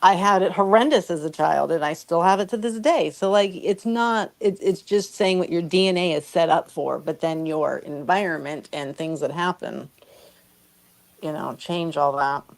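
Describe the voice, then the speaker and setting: A woman's voice: fairly low pitch, moderate pace. A woman talking casually and bluntly as a co-host on a live stream, unfiltered in her way of speaking. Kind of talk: casual chat.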